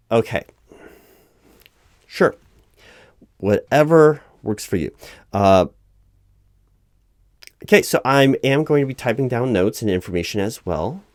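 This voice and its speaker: Low-voiced man as a halting, officious interviewer. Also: a little mellow, no room sound, clean studio sound. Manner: halting, officious, patiently explanatory. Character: flustered, officious male recruiting manager at a company. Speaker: job interviewer in a one-sided roleplay. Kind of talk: loud-spoken ASMR roleplay, improvised interview.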